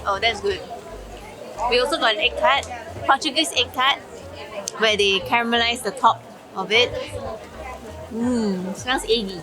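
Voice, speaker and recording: A young woman with a Singaporean accent, chatting casually as a travel vlogger while tasting street food, eager and quick to laugh. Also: a little high, a crowd in the background. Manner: chatty, enthusiastic tasting remarks with frequent laughs. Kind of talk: casual food vlog commentary. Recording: music bed over the voice.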